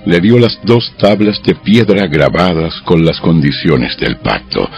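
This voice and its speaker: A man narrating a dramatized audio Bible in a scripted storytelling style, with a gravelly voice. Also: low-pitched, a little slow, darkish tone, lo-fi sound. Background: music.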